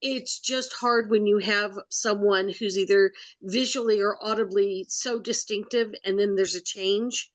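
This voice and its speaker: A woman's voice: mid-range voice, fairly even intonation, unhurried, few pauses, rough recording. A woman co-hosting a podcast, chatting casually with frequent fillers. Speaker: podcast co-host. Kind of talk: casual chat.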